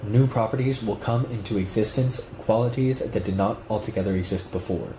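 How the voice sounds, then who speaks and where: A man reading a written text aloud at a steady, measured pace. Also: on the low side, roomy sound, a little hiss or hum, rough recording. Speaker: reader of a political text.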